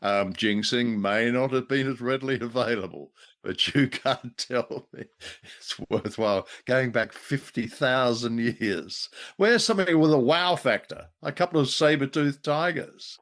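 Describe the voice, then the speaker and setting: A man's voice: medium pitch, a little slow, a bit bright. A man co-hosting a casual review chat, speaking in a wry, wordy way. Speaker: podcast co-host.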